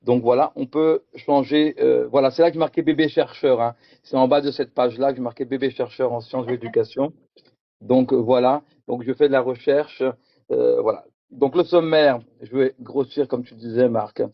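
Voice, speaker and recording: A man's voice: mid-range voice, fairly even intonation, moderate pace, gravelly, dry recording, dull audio. A middle-aged man from France giving a conference talk with slides, speaking hesitantly and repeating words. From France, Picardy. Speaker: conference presenter.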